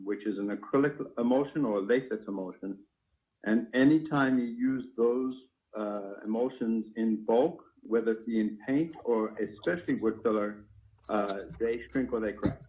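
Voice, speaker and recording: A man's voice: mid-range voice, a little hiss or hum. A man informally explaining his products on a live call, in a technical, step-by-step way, as their inventor. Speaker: product inventor and company founder. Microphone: phone call. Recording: narrow tinny phone line.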